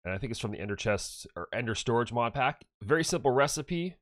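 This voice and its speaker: A man's voice: medium pitch, expressive, quick pace, fairly bright tone, dry recording, studio quality. Stuffy-nosed man chatting through gameplay. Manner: chatty, rambling, self-correcting. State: stuffed up with allergies. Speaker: gaming channel host. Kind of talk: casual gameplay commentary.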